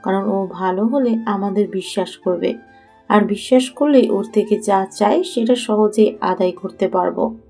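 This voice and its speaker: Woman playing a mellow-voiced, scheming young friend. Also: medium pitch, measured pace, vocal fry, soft background music. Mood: sly coaching. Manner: instructing, persuasive, assured. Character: scheming young woman coaching her friend, bossy and sly. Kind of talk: Bengali audio story acting.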